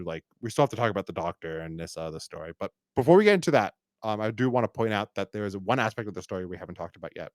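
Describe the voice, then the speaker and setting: A man's voice: fairly low pitch, clean tone, dry recording, studio quality. A man hosting a podcast in a casual review discussion, rambling and often repeating words as he feels for the next phrase. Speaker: podcast host.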